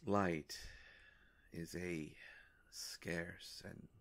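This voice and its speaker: A man voicing an ancient male vampire in an intimate roleplay monologue, courtly and melancholy, with formal, halting, hushed phrasing. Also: low-pitched, fairly even intonation, full character voice. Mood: wistful, solemn.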